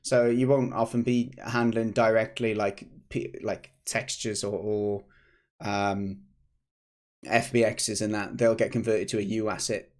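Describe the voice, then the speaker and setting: A British man in his thirties, a game developer hosting a casual devlog stream, slightly hoarse, chatty and rambling with restarts, at a desk mic in a home office. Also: fairly low pitch, level tone, a little slow.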